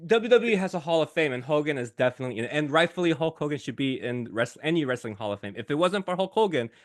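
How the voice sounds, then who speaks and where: A man who is a guest on a podcast, talking in a casual, animated way and laughing often. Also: a little high, fast.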